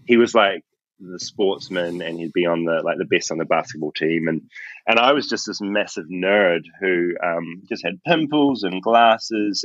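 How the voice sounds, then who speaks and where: A man's voice: low-pitched, fairly bright tone, dry recording. A man from New Zealand with a New Zealand accent, chatting enthusiastically and self-deprecatingly as an interview guest. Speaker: guest, film composer. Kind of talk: casual interview chat. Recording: dull, dry lo-fi audio.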